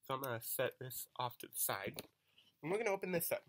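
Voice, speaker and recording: A young man talks through a toy unboxing with eager, chatty energy and a bright, forward tone. He is coming down with a cold. It sounds like a camera mic in a small room at home. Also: mid-range voice, fast talker, a little hiss or hum. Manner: excited, chatty, self-deprecating. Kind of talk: casual unboxing commentary.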